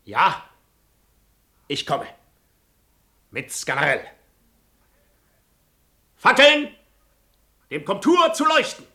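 A man's voice: high voice, wildly swooping pitch, very slow, long pauses, pushed and bright, heavily acted. A man playing a proud, cynical nobleman and hypocrite in a German radio play, eloquent and declamatory. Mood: bold defiance.

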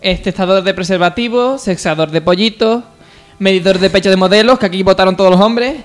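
Male comedy radio presenter, Spain Spanish accent. Notably high voice, loose chat style, light music underneath. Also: brisk. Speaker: radio show co-host presenting a listener segment. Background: faint music.

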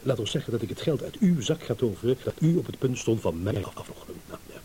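An actor playing a smug, shrewd, eloquent gentleman in a radio drama, with mock courtesy. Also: mid-range voice, animated, fast, close to an everyday voice. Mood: amused, triumphant. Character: smug, shrewd gentleman savouring a battle of wits. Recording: hissy old radio play recording.

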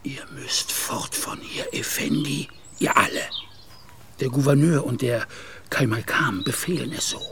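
An actor playing an anxious, middle-aged Turkish gendarme officer who pleads and often whispers, in a radio play. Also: male voice, medium pitch, unhurried, a bit bright. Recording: radio play with clear background noise.

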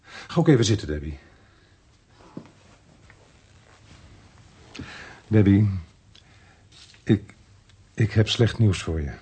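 An actor with a Netherlands Dutch accent playing a sober, dogged police inspector who narrates his own story in a measured, understated radio drama style. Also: fairly low pitch, lively intonation, dark tone, husky, heavily acted. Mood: grave, gentle. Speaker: actor, lead role and narrator of a radio play.

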